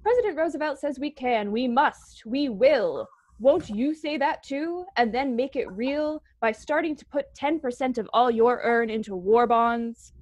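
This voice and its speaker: High-pitched, dry-sounding female radio announcer. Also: lively intonation, a little slow, few pauses, darkish tone, no echo, dull audio. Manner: earnest, exhortative. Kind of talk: scripted 1940s radio war bond appeal.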